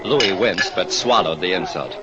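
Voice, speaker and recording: A man playing a wry, steady American private detective, narrating colloquially in 1940s radio drama style. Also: low voice, normal speed. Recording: dull, clearly noisy old radio recording.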